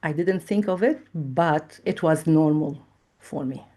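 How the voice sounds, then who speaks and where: A middle-aged woman from Lebanon with a low voice speaks slowly. She weighs each thought, pausing often with small fillers as she recounts her past in a reflective interview. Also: mellow. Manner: measured and thoughtful, with frequent pauses and fillers. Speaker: trauma therapist guest.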